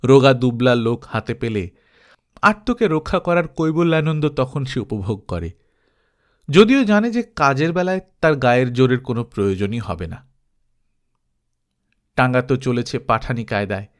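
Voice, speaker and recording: A man narrates literary prose in the style of an audiobook storyteller. His voice sits at a medium pitch and moves through a very animated range. The delivery is expressive and dramatized, shaped for storytelling. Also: moderate pace, pauses often. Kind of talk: expressive literary storytelling, reading a humorous travelogue.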